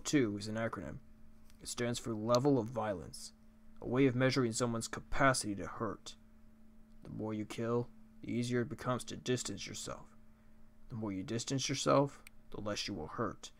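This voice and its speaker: A man voicing a laid-back skeleton from a video game, a joker and judge who speaks in casual, deliberate phrases. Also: mid-range voice, close to an everyday voice. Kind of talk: character voice acting of video game dialogue.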